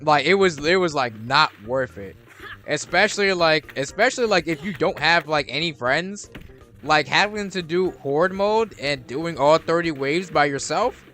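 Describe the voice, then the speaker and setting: A man's voice: on the high side, moderate pace, pushed and bright, faint music behind. A young man giving rambling, casual commentary while playing a video game, restarting his sentences and swearing freely. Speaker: gaming streamer.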